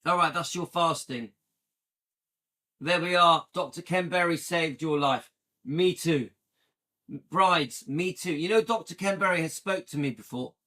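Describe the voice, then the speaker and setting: A man's voice: fairly high pitch, unhurried, bright, forceful tone. A man in his late fifties from the UK, chatting casually and enthusiastically with viewers on a livestream and often checking in with "yeah?". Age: 50s. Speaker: livestream host.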